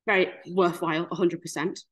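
A woman's voice: lowish voice, a little fast. Chatty young Yorkshire woman on a video call. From Leeds, Yorkshire, England. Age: 20s. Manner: chatty, lively, self-deprecating humour. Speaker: guest speaker. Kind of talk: casual webinar presentation.

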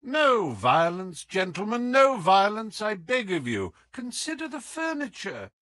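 A male narrator plays a suave gentleman detective of classic storybook fiction. He is affable, formal and teasing, with swooping intonation lifted above an ordinary talking pitch. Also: wildly swooping pitch, unhurried, dry recording, full character voice. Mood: amused, composed.

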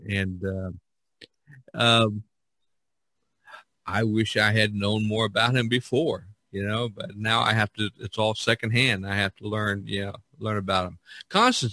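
Low-voiced man chatting casually, close and dry. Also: pushed and bright, no room sound, low-quality recording. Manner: rambling, halting. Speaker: podcast host. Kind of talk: casual conversation.

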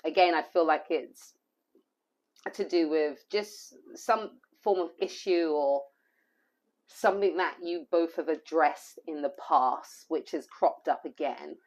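A hoarse woman giving an intuitive tarot reading, rambling and repeating phrases with plenty of fillers. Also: low voice, slow, darkish tone. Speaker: tarot reader.

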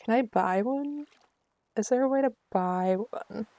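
A woman's voice: a little high, a little slow, dark tone, dry recording. A woman giving casual, chatty commentary on a live game stream, talking to herself with mock exasperation.

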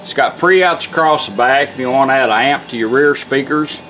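A man's voice: medium pitch, a little fast, fairly bright tone. A man giving a casual, folksy, plain-spoken demonstration as a car radio restorer. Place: indoor workshop bench. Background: music from the demonstrated radio.

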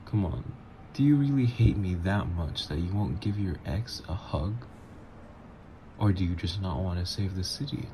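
A man's voice: deep voice, lively intonation, moderate pace, warm tone, a little hiss or hum. A man voicing a teasing supervillain ex. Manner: teasing, playful, flirtatious. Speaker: voice actor. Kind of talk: ASMR roleplay audio.

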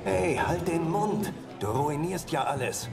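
An actor playing a cocky, impatient ghost in a fantasy game, bossy and colloquial, with an echoing spirit effect. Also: male voice, a little high, animated, a little slow, creaky. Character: cocky, impatient male ghost possessing a warrior. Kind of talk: dramatic game voice acting. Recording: heavy echo, music behind.

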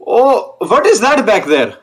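A young man on a video call through a gaming headset, joking and boasting in a loud, cocky way. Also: high-pitched, very animated, a little slow. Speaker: online date contestant. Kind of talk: casual video call banter.

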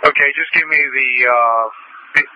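A man speaking as an emergency responder in brisk, fairly informal radio traffic. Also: medium pitch, no room sound. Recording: muffled emergency radio transmission.

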